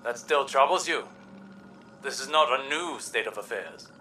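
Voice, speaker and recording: An actor playing a formal, attentive adviser to a ruler in a video game, courtly and measured. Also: male voice, mid-range voice, lively intonation, sharp tone, distant mic, rough recording. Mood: inquiring, calm. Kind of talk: dramatic video game acting.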